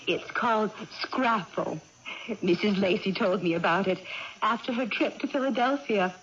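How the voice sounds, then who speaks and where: An actress playing a gentle, wistful aging aunt who keeps house, speaking in a homely, hesitant way in a 1980s radio drama. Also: female voice, medium pitch, normal speed, natural voice. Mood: homely, cheerful. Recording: muffled, noisy vintage radio broadcast.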